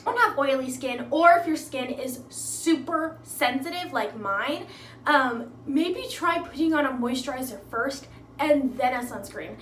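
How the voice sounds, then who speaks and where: A woman's voice: high-pitched, animated, unhurried, a bit bright, a little hiss or hum. A woman giving a casual makeup tutorial at home, chatty and upbeat, dropping lots of fillers as she explains each step. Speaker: makeup vlogger. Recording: echoey room.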